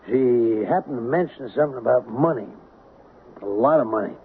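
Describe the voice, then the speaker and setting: A man's voice: mid-range voice, normal speed, natural voice. An American man playing a folksy, plain-spoken small-town sheriff, hesitant and apologetic yet probing, in an old-time radio drama. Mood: puzzled, chatty. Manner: folksy, hesitant, rural turns of phrase. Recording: narrow, noisy old radio broadcast.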